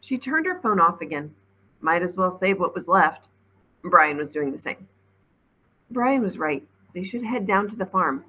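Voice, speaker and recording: A woman reading a children's novel aloud as an expressive storybook narrator, like a teacher reading to a class. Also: lowish voice, a little slow, breathy edge, low-quality recording.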